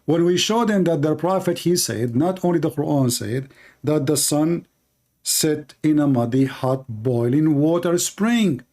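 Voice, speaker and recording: Arabic-speaking guy ranting on his channel, mellow, kinda high voice, repeats himself a lot. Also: expressive, a little slow. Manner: emphatic, repetitive, non-native phrasing. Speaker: religious debate host. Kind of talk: polemical commentary.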